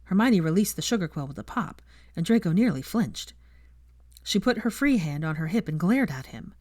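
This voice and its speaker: Female audiobook narrator, lowish pitch, very varied intonation, scripted storytelling, close dry recording. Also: lively intonation, normal speed, a little mellow, no echo. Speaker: audiobook narrator of fan fiction.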